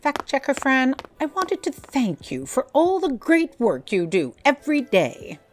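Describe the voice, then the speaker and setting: An imperious, forgetful female editor-in-chief in a comic audio play. Her voice sits fairly high and moves with lively pitch. She speaks formally, like someone used to being obeyed. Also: measured pace, soft background music, exaggerated voice. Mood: graciously formal. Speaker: voice actor. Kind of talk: comedic audio drama acting.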